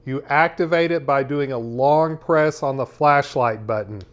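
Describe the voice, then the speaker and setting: A man narrating a product review as its host, explaining things in a measured, methodical way. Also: medium pitch, slight background noise.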